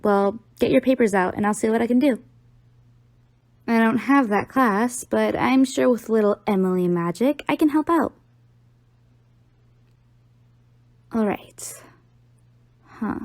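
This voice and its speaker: A woman's voice: fairly high pitch, a little slow, a little mellow, no echo. A young woman playing an affectionate, teasing college-age girlfriend in an audio roleplay, talking playfully to the listener. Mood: helpful.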